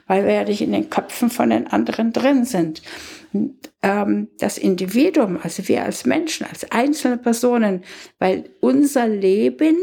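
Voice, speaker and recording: A woman speaking in a reflective, conversational discussion, deliberate and emphatic in the way she makes her points. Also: medium pitch, gravelly, warm tone, breathy edge. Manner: deliberate, emphatic, uses rhetorical questions.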